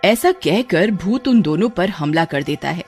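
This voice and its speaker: Cartoon story narrator, mid pitch, lively intonation, soft music behind. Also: unhurried. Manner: steady storytelling.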